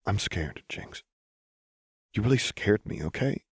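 A man voicing an anxious, tender young woman soldier with halting, emotional speech in an audiobook. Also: lowish voice, lively intonation, husky, no echo, clean studio sound, heavily acted. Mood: scared. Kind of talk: audiobook character acting.

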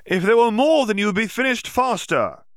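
Guy doing a squeaky upset teen student, loud, stammering. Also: animated, normal speed, no room sound, clean studio sound. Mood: protesting. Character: agitated older teenage student, protesting.

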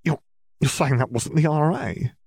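A man's voice: on the high side, normal speed, creaky, darkish tone, breathy edge, no echo, clean studio sound, voice pushed up. A man voicing a hesitant, worried father who stumbles into his questions.

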